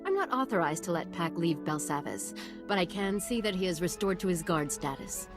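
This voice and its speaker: A woman's voice: on the low side, sing-song, brisk, over music. A woman playing a businesslike army colonel in a video game, formal and fair-minded. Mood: formal, firm.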